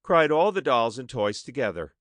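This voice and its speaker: A man's voice: medium pitch, big pitch swings, moderate pace, fairly bright tone, no echo. A man narrating a children's story expressively, with dramatic emphasis as an audiobook narrator.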